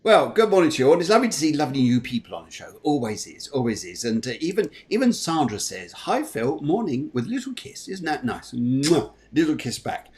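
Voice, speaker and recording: A man's voice: fairly high pitch, very animated, a little fast, few pauses, darkish tone. A man hosting a livestream morning show in a chatty, jovial way, often repeating his phrases. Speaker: show host.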